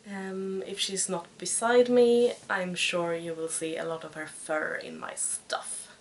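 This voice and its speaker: Slow, hesitant Swedish woman in her twenties. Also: lowish voice, flat delivery, low-quality recording. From Sweden. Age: 20s. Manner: hesitant, searching for words. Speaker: podcast host. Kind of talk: casual chat.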